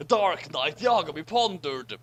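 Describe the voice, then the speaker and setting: A man giving a halting, theatrical comedic reading of a story as co-reader. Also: on the high side, animated, fairly bright tone, a little hiss or hum. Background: music.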